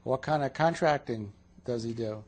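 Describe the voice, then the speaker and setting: A man's voice: mid-range voice, moderate pace. A man interviewing a client in a counseling session, asking short questions and repeating her answers back to check them.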